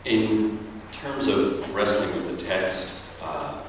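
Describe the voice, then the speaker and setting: A man from New York who performs in the show, reflecting on the work at a panel and pausing often to search for words. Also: low-pitched, lively intonation, background noise. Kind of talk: post-show Q&A panel talk. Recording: echoey hall, picked up from far off.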